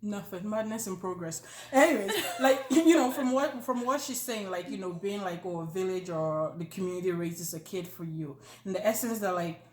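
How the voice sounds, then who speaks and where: A woman with a Nigerian accent, co-hosting a casual chat, joking and animated with many fillers. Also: medium pitch, moderate pace, few pauses. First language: not Yoruba. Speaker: co-host. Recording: echoey room.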